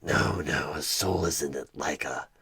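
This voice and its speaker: A man playing a sinister, smug devil of a recruiter in a horror ASMR roleplay, coaxing and condescending, with a gravelly voice that often slips toward a whisper. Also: extremely low pitch, sharp tone, heavily acted. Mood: haggling, condescending. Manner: slow, deliberate, coaxing, condescending. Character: sinister devilish male recruiter, smug soul-buying tempter. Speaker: roleplay performer playing a job interviewer. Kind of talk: one-sided horror ASMR audio roleplay.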